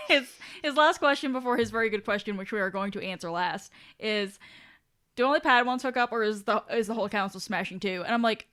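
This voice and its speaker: A woman's voice: fairly high pitch, animated, fairly quick, a bit bright. A woman co-hosting a podcast in casual chat, with a giggly, irreverent manner and loose, casual swearing. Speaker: podcast co-host reading listener questions.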